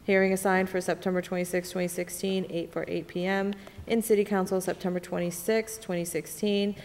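A woman's voice: on the low side, level tone, brisk, a little mellow. A woman reading formal petitions aloud as a clerk at a public meeting. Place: large council chamber. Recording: reverberant council chamber.

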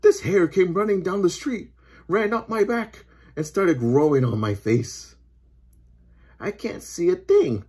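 A woman voicing a flustered, exasperated male policeman in a children's storybook, complaining loudly. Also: lowish voice, animated, a little slow, breathy edge, voice pushed up. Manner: loud, complaining.

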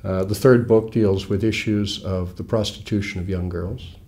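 Low-voiced American man in his sixties. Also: fairly even intonation, a little mellow. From United States. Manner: wry, explanatory, measured. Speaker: author guest. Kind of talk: relaxed interview talk. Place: small studio with red curtain. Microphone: lapel mic.

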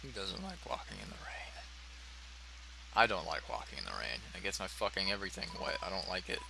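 Male let's player, lowish animated voice, off-the-cuff and self-deprecating with swearing, faint background noise. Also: brisk, gravelly. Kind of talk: casual gameplay commentary.

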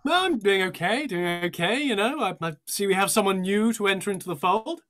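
Friendly gentle classmate, high voice, chatty, calls people darling, audio comedy. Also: male voice, animated, brisk. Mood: friendly, puzzled.

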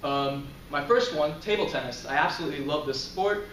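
Young male student, casual presenter with lots of ums, a touch high in pitch, recorded from afar in a roomy lecture room. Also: fairly quick, a bit bright, slight background noise. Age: young adult. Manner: casual, filler-heavy, chuckles often. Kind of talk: class presentation. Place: lecture room, in front of a projector screen. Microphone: far camera. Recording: roomy, distant camera audio.

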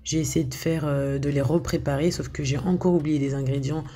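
A woman's voice: deep voice, steady tone, a little fast, warm tone, slightly rough. A woman talking casually over a video of her home cooking, hesitant, with lots of filler sounds and restarts. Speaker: home cook showing her recipes.

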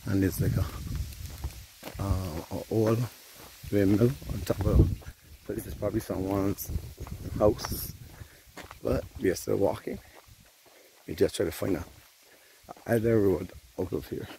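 A Barbadian man walks country roads, talking easily to his viewers as he films. His voice sits low with a gravelly edge. Wind and outdoor noise come through clearly on the phone mic. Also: fairly even intonation, unhurried, pauses often, warm tone, poor audio. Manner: chatty, addresses viewers as guys. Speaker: vlogger. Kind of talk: casual walking vlog narration. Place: outdoors on rural cane field roads. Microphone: handheld phone camera while walking.

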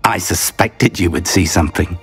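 An actor playing an elderly, cautious and kindly British wizard professor, speaking in measured, formal phrases in a video game. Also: male voice, sits low, vocal fry, slightly rough, faint music behind, heavily acted. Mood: cautious, encouraging. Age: older. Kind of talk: video game cutscene acting.

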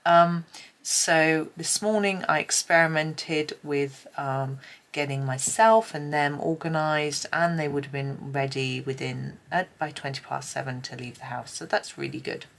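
A woman's voice: low-pitched, measured pace, vocal fry. A middle-aged British woman chatting casually and musingly, often hesitant and trailing off, as she hosts a colouring tutorial. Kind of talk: casual rambling chat while colouring. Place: indoors at a desk.

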